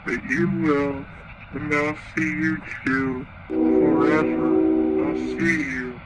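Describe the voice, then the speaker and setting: A man voicing a sinister, stalking supernatural entity in a slow, menacing, drawn-out way. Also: a little high, level tone, creaky, over loud music, poor audio. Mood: menacing promise.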